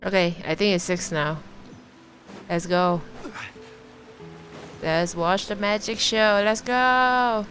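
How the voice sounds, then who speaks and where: Slow-paced woman streaming a game. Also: medium pitch, steady tone, a bit bright, rough recording. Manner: hesitant, repeats words, chats to viewers. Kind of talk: casual live game stream commentary. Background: faint game music.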